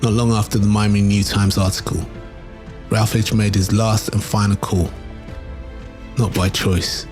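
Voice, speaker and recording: A man reading scripted documentary narration in a measured, dramatic storytelling manner. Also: on the low side, flat delivery, over music.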